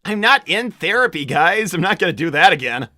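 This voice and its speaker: A woman voicing a laid-back but excitable young male cartoon animal, hesitant and slangy in casual improvised chat. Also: on the low side, fairly quick. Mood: defensive. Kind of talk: improvised in-character livestream chat.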